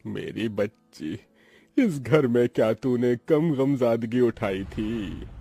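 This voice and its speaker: A man voicing a poor, proud, devout middle-aged uncle in a cartoon story, husky and earnest. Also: medium pitch, takes it slowly, warm tone, faint music behind. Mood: moved. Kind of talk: Hindi cartoon story voice acting.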